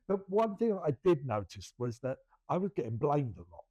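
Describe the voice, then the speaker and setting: A man's voice: on the high side, big pitch swings, fairly quick, dark tone, no room sound, lo-fi sound. A middle-aged man, co-hosting a casual podcast and rambling through many restarts with self-deprecating laughs. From England. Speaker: podcast co-host. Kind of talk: casual chat.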